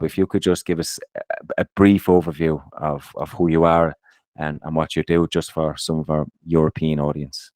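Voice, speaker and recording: A man's voice: low-pitched, a little mellow, dry recording. A man co-hosting a podcast. He reads a scripted intro, then leads the interview in an easygoing, apologetic way. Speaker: podcast co-host.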